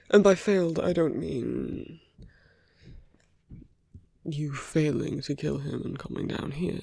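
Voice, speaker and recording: A man playing a suave, persuasive villain in an ASMR roleplay, speaking in measured rhetorical questions and slipping into near-whispers. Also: fairly high pitch, vocal fry, a little mellow, slightly rough, no echo, clean studio sound, exaggerated voice. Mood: coaxing, persuasive. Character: suave male villain, persuasive, teasing, self-justifying. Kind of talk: ASMR roleplay audio drama.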